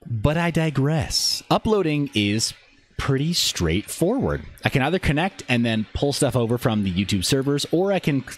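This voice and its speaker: A man's voice: mid-range voice, big pitch swings, moderate pace. A man hosting a casual livestream, answering viewers and talking through what he shows, with rambling, self-interrupting asides. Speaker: live streamer and channel host. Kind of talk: casual livestream chat, answering viewer questions while demonstrating a website.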